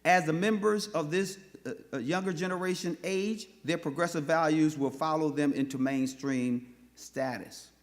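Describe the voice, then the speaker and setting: A man's voice: a little high, moderate pace. Impassioned man speaking at a forum. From North Minneapolis, USA. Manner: impassioned, repetitive restarts. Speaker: panel speaker. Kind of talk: public speech at a forum. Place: forum hall with live audience.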